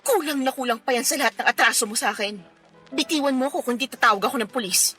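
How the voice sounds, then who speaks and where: Shrill, furious jealous girlfriend. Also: fast, faint music behind. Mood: defiant, angry. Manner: shouting, tearful, sarcastic, confrontational. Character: jealous, furious young woman confronting cheating boyfriend. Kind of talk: melodramatic radio drama acting.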